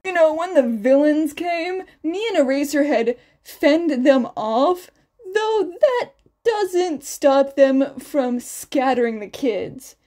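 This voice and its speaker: Woman playing a shaken male hero teacher, high-voiced. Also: animated, dark tone. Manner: halting, sighing, emphatic recounting.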